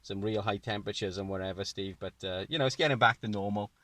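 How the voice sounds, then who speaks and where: Dry sound, British man, lowish pitch, casual radio operator chat, repeated phrases for clarity. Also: fast, fairly bright tone, dry recording. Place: inside a parked or mobile car.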